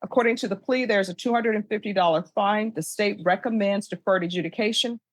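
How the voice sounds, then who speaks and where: A woman's voice: medium pitch, no echo. Authoritative American woman judge, dry close sound. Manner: brisk, authoritative, recites by rote. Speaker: judge presiding over a plea hearing. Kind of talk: formal procedural courtroom questioning. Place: courtroom.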